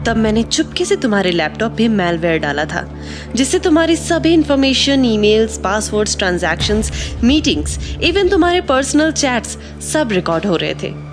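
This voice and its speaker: Audio drama schemer: fairly high, very animated, taunting and cool, music underneath. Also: female voice, moderate pace. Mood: coolly triumphant. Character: scheming woman coolly confessing her betrayal.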